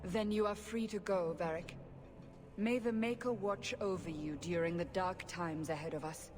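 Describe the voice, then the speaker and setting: A woman's voice: fairly low pitch, normal speed, close to an everyday voice. Woman playing a stern holy investigator, echoing hall. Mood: resigned. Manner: formal, grave, insistent. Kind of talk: video game dramatic dialogue. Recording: long reverb, music behind.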